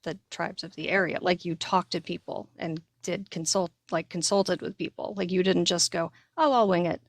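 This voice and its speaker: A woman's voice: lowish voice, fairly even intonation, normal speed, dry recording, clean studio sound. An American woman from Connecticut co-hosting a casual discussion, speaking informally and repeating her points for emphasis. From Connecticut, United States. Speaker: podcast co-host and writer.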